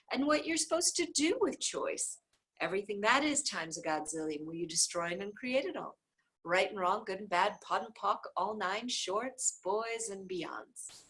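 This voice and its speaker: Expressive, slightly husky woman reading aloud. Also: medium pitch, rough recording. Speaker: reader of a book aloud. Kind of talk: book read aloud, informal.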